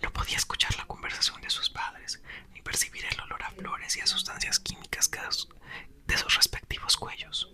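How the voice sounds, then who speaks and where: A man softly whispering a novel aloud in an ASMR reading, close to the mic. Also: moderate pace. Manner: soft whisper, occasional self-corrections. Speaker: ASMR reader.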